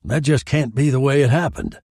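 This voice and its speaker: A man's voice: mid-range voice, big pitch swings, a little fast, creaky, a little mellow, no room sound, studio quality, voice pushed up. A man playing a flustered, blustering police detective in a dramatised story, complaining as he loses control. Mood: feeble protest. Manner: complaining, grasping for reasons. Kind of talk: dramatised short story reading.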